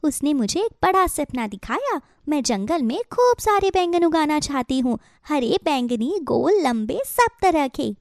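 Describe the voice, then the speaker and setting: Woman voicing an eager, high-pitched little cartoon bird. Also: big pitch swings, a little slow, few pauses, darkish tone, dry recording, studio quality, exaggerated voice. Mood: eager, happy. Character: eager, determined little female bird with big dreams. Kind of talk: Hindi children's cartoon story acting.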